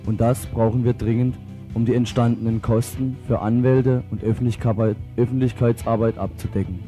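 A man's voice: medium pitch, little pitch movement, normal speed. A man reads a scripted radio announcement for an activist group's event. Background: guitar music.

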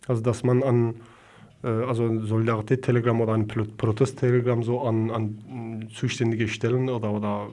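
Guy with being interviewed, mellow and flat, keeps searching for words. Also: mid-range voice, brisk. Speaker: interviewee, activist. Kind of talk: spontaneous radio interview answers.

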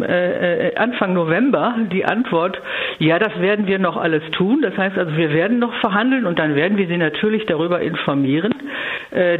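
A woman giving a spontaneous political interview as a local council leader, speaking in a measured, emphatic way with careful wording. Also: mid-range voice. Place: phone call to a radio programme. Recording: narrow-band phone line.

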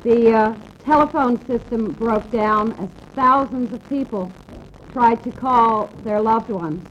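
An American woman giving personal testimony in halting, short phrases, pausing for an interpreter. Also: a little high, a little hiss or hum. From United States. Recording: dull lo-fi archive radio tape.

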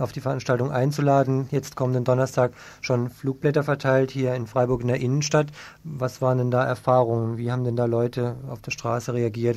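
A man's voice: medium pitch, gravelly, a little mellow. A man working as a radio host, asking questions in an informal local interview.

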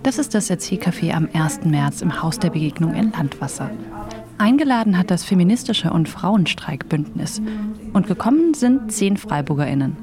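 A woman's voice: lowish voice, very animated, over music. A woman radio host reading a report and interviewing a guest in the studio. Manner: measured, scripted delivery.

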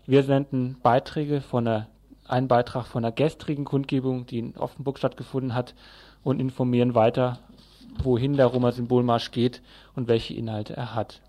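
A man hosts a live news programme on community radio. His voice is medium in pitch, and he gives the rundown and announcements in a semi-scripted way. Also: level tone, normal speed, mellow.

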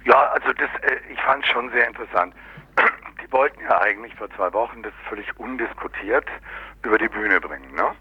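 A low-voiced man gives a sardonic, unscripted political commentary. He speaks colloquially and haltingly, searching for words. The sound is dry and dull, like an old local radio recording. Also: lively intonation, husky, dry recording. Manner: sardonic, colloquial, often tags statements with "ne?". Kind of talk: unscripted critical political discussion.

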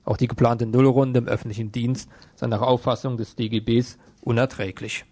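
Man reading news in flat, dry sound. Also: mid-range voice, normal speed, dry recording. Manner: sober, matter-of-fact. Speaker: radio news presenter.

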